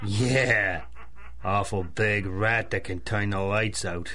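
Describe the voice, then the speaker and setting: A hard-bitten stick-up man in a period radio serial. The voice is fairly low, the delivery laconic and dryly cynical. He talks in gruff, slangy phrases. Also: fairly even intonation, slow, pushed and bright. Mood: suspicious, tough. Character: wary, hard-bitten male bank robber, dryly cynical. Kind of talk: 1930s-style radio drama acting.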